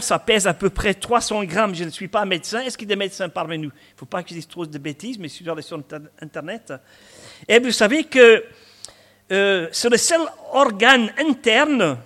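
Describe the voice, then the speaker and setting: A man's voice: high voice, fairly bright tone. A man in his sixties with a Scottish accent, preaching a chatty sermon sprinkled with asides and humor. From Scotland. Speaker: pastor.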